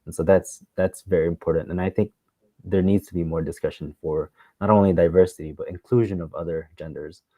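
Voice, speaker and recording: A man hosts an interview in a low, mellow voice. He phrases things haltingly, in a conversational style. The sound is close and dry. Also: fairly even intonation, no echo. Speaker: interviewer, show host.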